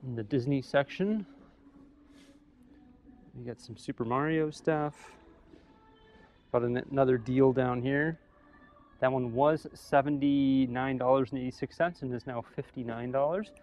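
A young man filming himself in a big store, chatting casually as he weighs up prices and thinks out loud. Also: medium pitch, a little slow, pauses often, muffled recording. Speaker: vlogger. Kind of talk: casual shopping vlog. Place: large store aisle, indoors. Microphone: camera held at arm's length.

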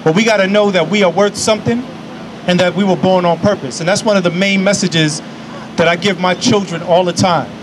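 A man in his forties speaks into a podium microphone on an outdoor lawn, with the cadence of a preacher. His voice sits fairly high, and he leans on key phrases by repeating them. Steady city traffic hums loudly behind him. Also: a little fast. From New York. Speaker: invited speaker. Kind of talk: speech at an outdoor public event. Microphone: podium microphone on a stand. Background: city traffic and street ambience.

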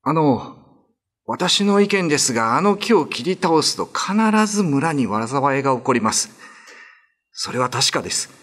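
A man's voice: a little high, sing-song, a little slow, a bit bright, voice pushed up, exaggerated voice. A man playing an earnest, polite young villager in love, in a radio drama. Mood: earnest, worried.

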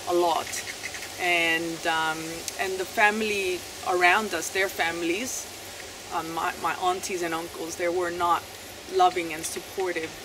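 A woman in her thirties, originally from Chile, speaks softly and haltingly, pausing often and restarting her sentences. She sits outdoors in a garden, picked up by a camera a few meters off. Steady outdoor noise sits clearly behind her voice. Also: fairly low pitch. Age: 30s. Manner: soft-spoken, halting, many fillers and restarts. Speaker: interviewee sharing her testimony. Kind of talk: personal testimony, unscripted. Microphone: camera mic at a few meters, seated.

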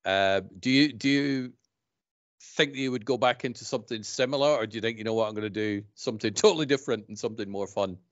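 Podcast host, man in his forties, lowish voice, friendly prompting questions, dry headset sound. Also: fast talker, a bit bright, no room sound. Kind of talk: casual podcast interview chat. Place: home studio room, indoors. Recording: dry, slightly dull call audio.

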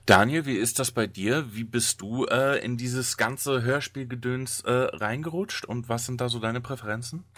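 A man's voice: medium pitch, moderate pace, few pauses, a bit bright, no echo. A man hosting a casual podcast, asking questions in an informal, jokey way. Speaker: podcast host.

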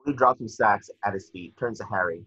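A man with a husky voice reading out stage directions as scripted narration at a table read on a video call. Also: on the low side, brisk, bright, forceful tone, no room sound. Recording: muffled, dry video call audio.

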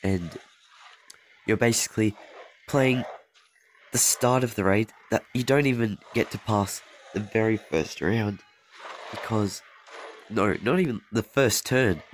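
A young man with a deep, low voice talks over his own mobile game footage in a loose, rambling way. He corrects himself, trails off and chuckles as he goes. His voice sounds a bit off because he is sick, and faint noise sits behind him. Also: unhurried, long pauses. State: voice off from being sick. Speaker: mobile game channel host. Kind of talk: gameplay commentary over a screen recording.

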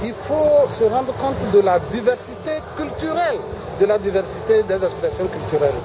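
A man's voice: high voice, very animated, normal speed. A middle-aged man from Togo, giving a formal interview statement, earnest and hesitant with many fillers. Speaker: government official giving an interview. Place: busy exhibition pavilion. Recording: music and crowd chatter behind. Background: African pop music and crowd noise.

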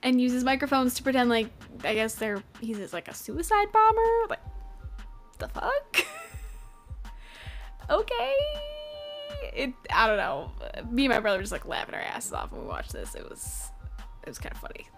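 A woman's voice: on the high side, wildly swooping pitch, moderate pace, pauses often, fairly bright tone, soft background music. Sarcastic young woman reviewing anime at home. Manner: sarcastic, swears freely, laughs often. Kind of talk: casual spoken review. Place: bedroom at home. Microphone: desk microphone in front, webcam.

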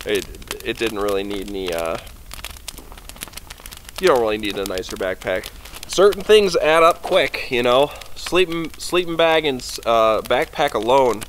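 Young male outdoor vlogger, relaxed rambling delivery, recorded by a camera a few meters off. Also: medium pitch, unhurried, slight background noise. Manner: chatty and easygoing, rambling with fillers. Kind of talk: casual rambling talk to camera. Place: snowy forest campsite at night, outdoors by a fire. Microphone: camera on a tripod a few meters away.

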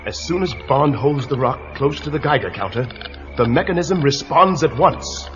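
A man's voice: a little high, expressive, moderate pace, fairly bright tone. A man narrating a children's storybook adventure in a dramatic, urgent way. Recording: dull lo-fi tape with music.

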